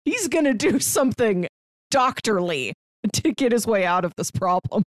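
A woman's voice: on the high side, big pitch swings, normal speed, no echo, clean studio sound. A woman co-hosting a podcast, talking through films in a casual, conversational way. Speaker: podcast co-host. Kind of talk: casual film review chat.

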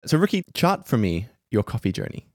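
Lively male podcast host. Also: fairly low pitch, brisk, gravelly, dry recording, clean studio sound. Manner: lively, laughs often, reads sponsor spots. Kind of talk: conversational podcast interview.

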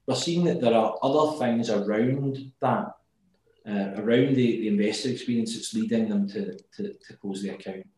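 A man's voice: fairly low pitch, moderate pace, darkish tone. A man with a Glasgow accent, speaking as an interview guest, thoughtful and often restarting his words. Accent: Glaswegian. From Scotland. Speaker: guest, company executive. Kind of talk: remote interview. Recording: very echoey room.

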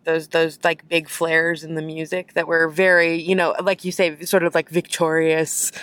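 A woman with a slightly low, smooth, clear voice hosts a relaxed podcast chat. She talks casually, pauses on fillers, and laughs often. The recording comes from inside a parked car. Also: no room sound. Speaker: podcast host.

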